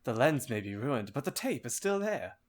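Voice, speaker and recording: A man's voice: mid-range voice, very animated, normal speed, close to an everyday voice. A man voicing overwrought, theatrical wizard characters in a comedic audiobook reading. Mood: indignant. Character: melodramatic male wizards and vampire boy, excitable.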